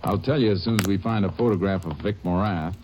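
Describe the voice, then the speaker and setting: A man playing a very deep-voiced Texas Ranger. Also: fast talker, lightly acted, rough recording. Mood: determined. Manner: calm, clipped, matter-of-fact. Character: steady, sharp-witted male Texas Ranger. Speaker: lead actor and narrator. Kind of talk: 1940s radio acting. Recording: old radio broadcast with music.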